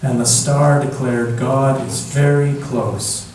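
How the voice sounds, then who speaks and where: A man reading a picture book aloud as a storyteller, in a gentle, measured manner with long pauses. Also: medium pitch, level tone. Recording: echoey room from far off, background noise.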